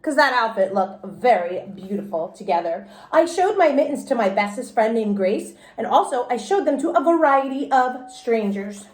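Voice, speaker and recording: A woman performs an expressive children's read-aloud in a childlike manner. Her high voice swings widely in pitch. The room gives it noticeable echo. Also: moderate pace, hardly any pauses. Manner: expressive, childlike first-person delivery. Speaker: teacher reading aloud. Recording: roomy, echoey indoor sound.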